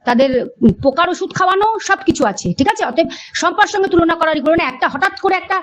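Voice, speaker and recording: A woman's voice: extremely high pitch, fast talker, fairly bright tone. A woman speaking defensively and insistently in a heated phone conversation. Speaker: animal rescuer. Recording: phone call recording with music underneath.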